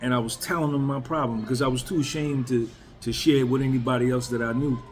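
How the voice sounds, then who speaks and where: A man's voice: medium pitch, fairly even intonation, quick pace, soft background music. A man in his fifties, a YouTuber, talking candidly and bluntly to his viewers in a casual, emphatic way. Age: 50s. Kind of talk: casual talk to camera.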